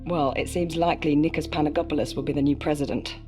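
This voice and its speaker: A woman voices a curious, probing news journalist in a military game cutscene, her voice a little low for a woman. She asks careful, measured questions and presses for detail. Music plays clearly behind her. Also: fairly even intonation, warm tone, close to an everyday voice. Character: inquisitive female news journalist. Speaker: interviewer.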